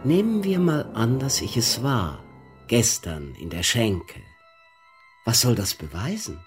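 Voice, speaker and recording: An actor playing an arrogant, scheming and ruthless papal nuncio in a German radio play, haughty and vehement. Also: male voice, medium pitch, sing-song, slow pace, music in the background. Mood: haughty, defensive. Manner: haughty, vehement, erupting into shouts.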